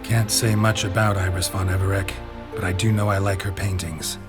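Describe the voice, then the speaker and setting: An actor playing a gruff, world-weary monster hunter in a video game, with a terse, deadpan manner. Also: male voice, deep voice, brisk, music in the background, lightly acted. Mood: solemn.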